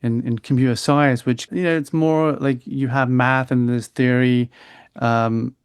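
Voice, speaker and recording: Smooth-voiced man, rambling host. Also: mid-range voice, fairly even intonation, dry recording. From United Kingdom. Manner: rambling, halting questions full of restarts. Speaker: podcast host. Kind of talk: interview questions.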